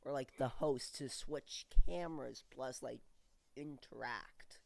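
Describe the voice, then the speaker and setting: A man's voice: mid-range voice, slow, creaky, a little mellow. A man hosting a livestream interview, congested from a cold, upbeat and asking curious questions in casual chat. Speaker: livestream host. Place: home streaming studio.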